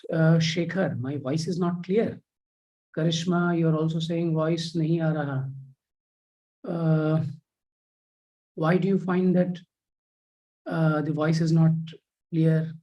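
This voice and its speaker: Guy teaching an online history class, mellow kinda high voice, lots of uhs and pauses. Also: level tone, lo-fi sound. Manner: reads out students' chat messages, many fillers.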